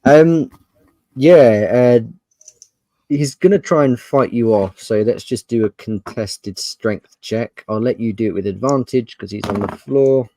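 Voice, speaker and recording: A man's voice: mid-range voice, a little slow, warm tone, no echo, poor audio. A man narrating an improvised tabletop game as game master, conversational and descriptive.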